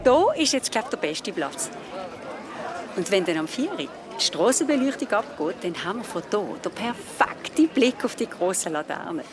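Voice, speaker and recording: An actress playing an excitable middle-aged aunt with a Swiss German accent, chatty and gushing with enthusiasm in a radio drama. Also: female voice, medium pitch, moderate pace, a crowd in the background, natural voice.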